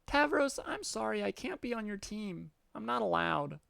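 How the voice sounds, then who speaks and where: A man's voice: high voice, expressive, moderate pace, vocal fry, fairly bright tone, no room sound, clean studio sound, voice pushed up, full character voice. A man voicing a cute, catlike young female troll with a sweet, playful manner. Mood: apologetic. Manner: sweet and playful, cat growls.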